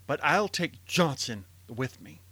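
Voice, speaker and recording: A man's voice: on the high side, animated, takes it slowly, bright, forceful tone, slightly rough, no echo, full character voice. An actor playing a weary, anguished middle-aged male lawyer in an old-time radio drama, stammering with agitation. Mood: agitated.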